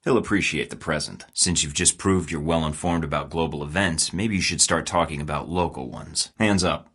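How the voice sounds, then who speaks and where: A man's voice: a bass voice, normal speed, a bit bright, husky, exaggerated voice. An actor playing a cocky young male secret agent in a video game, delivering dry, sarcastic, terse lines. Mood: cool. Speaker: game character.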